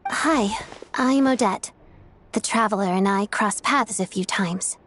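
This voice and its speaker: A woman's voice: mid-range voice, measured pace, fairly bright tone. A woman playing a poised, kindly young woman in a video game, speaking in a composed, polite way. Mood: friendly.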